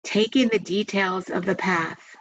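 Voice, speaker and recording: Female meditation guide, very slow delivery, soothing and gentle with long pauses, slightly muffled lo-fi recording. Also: mid-range voice, fairly even intonation. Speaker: meditation guide and massage therapist. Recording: dull, muffled lo-fi sound.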